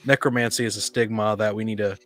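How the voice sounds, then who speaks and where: A man's voice: fairly low pitch, level tone, fairly bright tone, soft background music. A man chatting casually about his gaming experiences, rambling and often interrupting himself. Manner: rambling, halting, self-interrupting. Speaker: panel guest on a tabletop gaming podcast. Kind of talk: casual chat, personal anecdotes.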